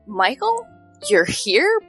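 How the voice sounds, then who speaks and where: Casting: actress for an overwhelmed mother in audio drama, high-pitched, unhurried, wildly expressive intonation. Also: faint music behind, exaggerated voice. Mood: astonished. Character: astonished, emotional mother reunited with her son.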